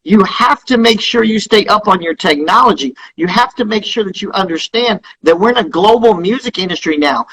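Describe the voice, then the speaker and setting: A man's voice: high voice, a bit bright, breathy edge, poor audio. A man speaking as an interview guest, explaining things in an emphatic, advisory way. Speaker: interview guest, radio tracking company founder.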